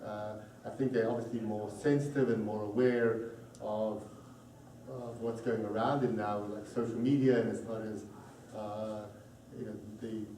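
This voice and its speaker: A man from South Africa talking casually in a group discussion, halting with many fillers. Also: on the low side, fairly even intonation, mellow. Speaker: panel speaker, parent. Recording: echoey room, distant mic, faint noise.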